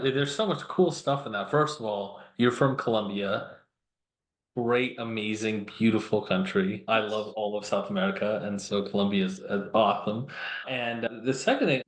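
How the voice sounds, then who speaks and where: An upbeat, friendly man hosting a business podcast, moving from a scripted opening into easy conversation. His voice is mid-pitched. It rings in a noticeably reverberant room. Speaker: podcast host. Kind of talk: scripted intro, then casual interviewing.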